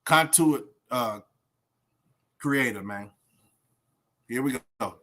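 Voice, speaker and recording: A man's voice: mid-range voice, takes it slowly, fairly bright tone. A man with a Southern US accent giving casual reaction commentary in a colloquial, emphatic way, full of rhetorical questions. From southern United States. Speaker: YouTube reaction host.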